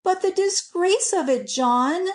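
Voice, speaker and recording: A woman's voice: very high voice, lively intonation, slow, pitched above the performer's own voice, heavily acted. A woman voicing a proud young working-class woman in a storybook, self-blaming and emphatic in rustic colloquial speech. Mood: ashamed.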